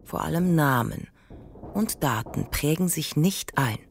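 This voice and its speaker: A woman performing as the sober narrator of a literary radio play, speaking in a measured, precise way. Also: low-pitched, animated, vocal fry, soft background music, exaggerated voice. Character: sober female narrator observing an ageing widower.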